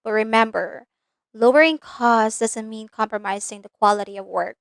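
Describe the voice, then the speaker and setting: A woman with a Filipino accent walks the viewer through a business lesson, speaking directly and pausing to check that they follow. Her voice sits a little high, and the close mic gives a dry sound with no room echo. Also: steady tone, vocal fry. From Philippines. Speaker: business coach. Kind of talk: scripted instructional video.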